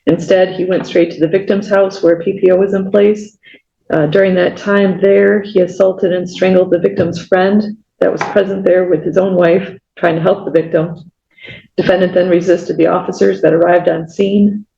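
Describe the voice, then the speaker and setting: A man's voice: sits high, level tone, normal speed, creaky, mellow. A man speaking as a prosecutor, giving a formal, matter-of-fact summary in court. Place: echoey indoor courtroom. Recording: muffled, echoey, noisy room.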